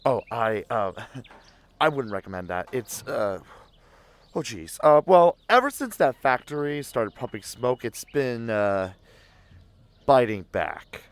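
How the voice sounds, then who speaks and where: A man's voice: medium pitch, sing-song, slow pace. A man playing a nervous cafe waiter in an audio drama, awkward and stammering. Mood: sheepish. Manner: hesitant, stammering. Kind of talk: scripted audio fiction acting.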